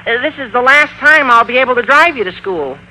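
An actor playing an earnest, whiny American teenage schoolboy in an old-time radio comedy. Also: male voice, very high voice, animated, brisk, bright, forceful tone, heavily acted, poor audio. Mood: sheepish. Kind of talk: broad 1940s-50s radio comedy acting. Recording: vintage radio recording with faint noise.

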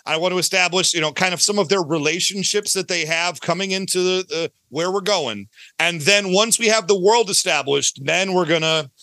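A man's voice: high-pitched, normal speed, few pauses, bright, forceful tone, no room sound. A man talking casually as an interview guest about his creative work, talkative and often correcting himself mid-thought. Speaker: guest, audio drama creator.

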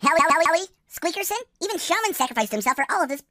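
A woman's voice: very high voice, big pitch swings, racing, pushed and bright, dry recording, studio quality, heavily acted. A woman playing an anxious, exasperated, chatty droid adventurer in a sci-fi comedy radio drama, fretful and dramatic. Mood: distressed.

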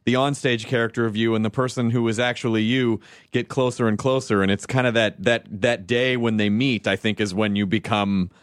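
A man hosting a podcast in casual chat, joking with quick asides and casual swearing. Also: mid-range voice, fairly even intonation, no echo, clean studio sound. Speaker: podcast host.

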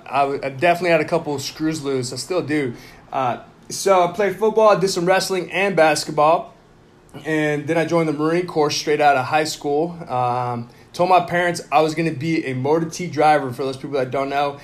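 A man in his thirties from Mexico, co-hosting a podcast in a loud, jokey, casual chat and laughing often. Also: fairly high pitch. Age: 30s. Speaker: podcast co-host.

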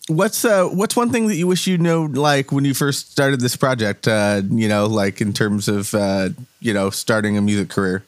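A man's voice: mid-range voice, moderate pace, hardly any pauses, no echo. A man hosting a casual interview, chatty and friendly, using many fillers and asking for agreement with "right?". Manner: friendly, filler-heavy, rising "right?" tags. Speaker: podcast host, musician.

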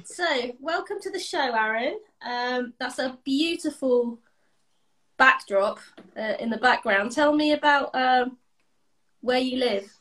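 A woman hosting a podcast, introducing and interviewing in a clear presenting role. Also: sits high, takes it slowly, a bit bright, soft background music.

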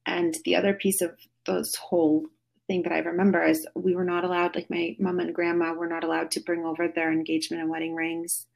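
A middle-aged woman on a podcast call tells her story in a loose, rambling way full of fillers and restarts. Her voice sits low, with a breathy, husky grain and a steady gravelly fry underneath. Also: fairly quick, poor audio. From Russia. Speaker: podcast guest. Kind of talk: casual remote interview. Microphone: phone or video call.

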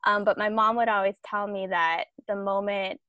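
A woman's voice: mid-range voice, fairly even intonation, moderate pace, a bit bright, dry recording, dull audio. A young woman from Los Angeles, first language Tagalog, thoughtfully telling personal stories in casual conversation. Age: young adult. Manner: reflective, storytelling.

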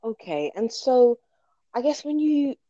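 A woman interviewer with a very animated, up-and-down delivery and a mellow tone. She asks her questions informally, as in a friendly chat. The recording is dry and slightly dull. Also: on the high side, unhurried, dry recording.

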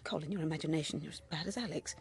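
A woman's voice: low voice, racing. A woman playing a fussing, easily alarmed suburban mother with a British accent in a radio horror drama, maternal and chiding. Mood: dismissive, uneasy. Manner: maternal, expressive.

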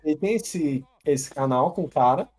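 A young man with a Brazilian Portuguese accent, co-hosting a casual podcast chat and telling stories in a loose, profane, animated way. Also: a little high, moderate pace. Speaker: podcast co-host.

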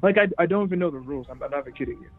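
A man's voice: a little high, rapid-fire. A young man whose first language is French, chatting casually and laughing easily in an interview over a call. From Cameroon. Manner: relaxed, chatty, laughs a lot. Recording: tinny phone-like line with faint music.

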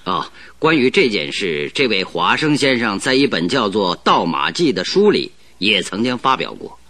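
An actor playing a composed, politely teasing detective in a radio play. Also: male voice, fairly low pitch, sing-song, moderate pace, a bit bright, no echo, exaggerated voice. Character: calm, wry male detective, middle-aged. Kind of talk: 1970s Chinese radio drama acting.

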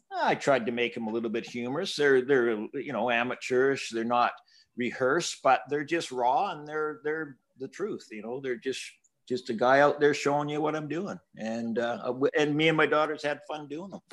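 An older man talks casually as a podcast guest at a middling pitch. He rambles with lots of "you know"s and restarts, and he often pokes fun at himself. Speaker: podcast guest, hobby builder. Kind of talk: casual interview chat.

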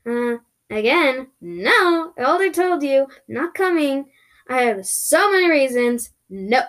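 A woman's voice: a little high, animated, moderate pace, close to an everyday voice. A woman voicing a feisty, sarcastic, exclamatory girl lost in another world in a fantasy story. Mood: defiant. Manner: exclamatory, indignant, sassy.

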